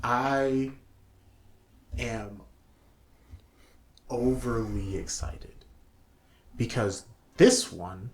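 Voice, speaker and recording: A man co-hosting a casual podcast chat, speaking excitably and repeating phrases for emphasis. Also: mid-range voice, extremely slow, pauses often. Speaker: podcast co-host. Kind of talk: casual chat about games and movies.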